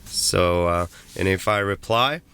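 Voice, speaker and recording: Low-voiced Norwegian man, slightly sick. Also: slow, pushed and bright, clear, resonant tone, no echo. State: sick, throat a bit off. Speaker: car tech video presenter. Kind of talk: casual tutorial talk. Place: inside a parked car.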